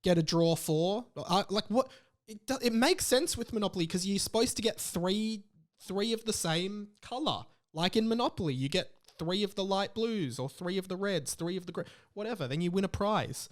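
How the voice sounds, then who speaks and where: A man with an Australian accent and a somewhat high-pitched voice hosts a podcast. He talks informally and casually, rambling through his points as if thinking aloud. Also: lively intonation, moderate pace. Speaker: podcast host. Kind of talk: casual solo podcast talk.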